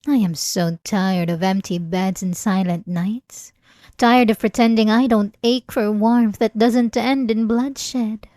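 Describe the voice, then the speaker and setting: Girl doing an obsessive old vampire lady, asmr roleplay, slow n teasing, dramatic, super dry close sound. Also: medium pitch, dark tone, no echo, lightly acted. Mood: lonely, wistful.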